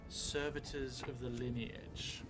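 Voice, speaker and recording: Slow, halting man over music. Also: mid-range voice, flat delivery. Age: middle-aged. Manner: thoughtful, halting, thinking aloud in short phrases. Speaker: solo role-playing streamer. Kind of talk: improvised narration of a solo tabletop game. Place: indoor room set up for streaming, seated at a table.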